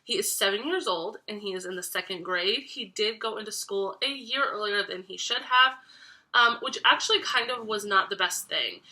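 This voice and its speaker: A woman's voice: medium pitch, normal speed, bright, forceful tone, low-quality recording. A young woman in her twenties, congested from a cold, chatting casually to camera about parenting, with many ums and restarts. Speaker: parenting vlogger.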